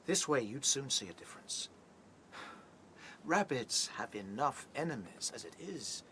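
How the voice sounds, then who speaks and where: An actor playing a brave, reasonable rabbit leader in a radio drama, earnest and decisive. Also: male voice, mid-range voice, very animated, measured pace, bright, forceful tone, slight background noise. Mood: earnest diplomacy. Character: brave, reasonable young male rabbit chief. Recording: faint ambient sound behind voice.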